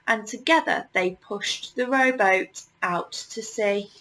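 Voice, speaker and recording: A woman reading a children's picture book aloud as a storyteller, measured and with deliberate pauses. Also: a little high, rough recording.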